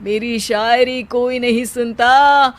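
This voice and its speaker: Woman as young idle husband, high voice, unhurried, theatrical couplets, self-pitying, comic cartoon. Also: steady tone. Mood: theatrical, wounded. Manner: theatrical rhyming declamation. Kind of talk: comic animated Hindi moral story.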